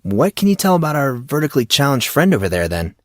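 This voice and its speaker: Man playing a curious, hesitant high-pitched teenage boy. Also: big pitch swings, fast talker, no echo, clean studio sound, lightly acted. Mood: curious, probing. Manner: questioning, hesitant, wry. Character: curious, hesitant fourteen-year-old high school boy. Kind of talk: audiobook character dialogue.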